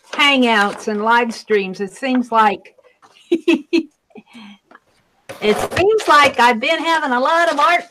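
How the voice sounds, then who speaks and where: Brief: high-pitched woman, loud and chatty, casual crafting talk over a video call mic. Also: a little hiss or hum, poor audio. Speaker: live stream co-host.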